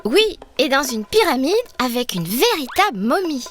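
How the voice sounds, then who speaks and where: A woman voicing a brave, curious little girl in a children's cartoon, eager and expressive as she explains things. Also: sits high, normal speed, faint music behind. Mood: curious, friendly.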